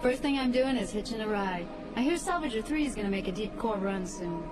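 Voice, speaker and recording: An actress playing a tough, independent smuggler pilot with a brash, needling manner in a science fiction audio drama. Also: female voice, medium pitch, expressive, fairly quick, lightly acted. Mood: firm, dismissive. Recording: clear spaceship ambience noise.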